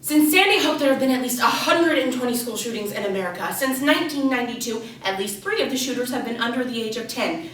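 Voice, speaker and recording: Intense, falsely sweet female grade-three teacher acted by a woman, stage monologue to children, dramatic pauses, heard from afar in a reverberant room. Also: on the high side, animated, a bit bright. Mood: urgent, alarmed. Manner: over-enunciated, addressing children, dramatic pauses. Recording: echoey room, distant mic, faint noise.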